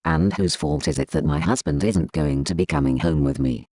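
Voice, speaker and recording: A man's voice: very deep voice, moderate pace, crowd noise. An actor playing a bitter, defiant scorned woman in a confrontational audio drama scene. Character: defiant, scorned woman demanding her husband back.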